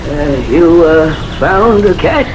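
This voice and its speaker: A man's voice: fairly high pitch, expressive, slow. An actor playing a wry small-town mailman in an old-time radio drama, casual, folksy and joking. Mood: wry, amused. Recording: noisy, hissy old radio recording.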